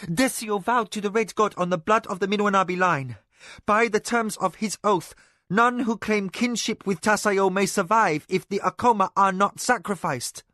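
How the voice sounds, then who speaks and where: Brief: urgent pleading young noblewoman, put on by a male narrator, higher voice, dry close sound. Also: normal speed, bright, forceful tone, no echo.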